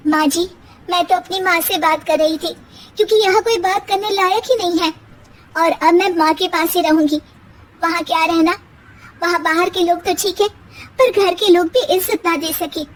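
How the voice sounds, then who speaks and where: A woman's voice: very high voice, fairly even intonation, moderate pace, voice pushed up, low-quality recording. A woman voicing a clever, educated young daughter-in-law in a cartoon story, speaking firmly and outspokenly. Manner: firm and outspoken.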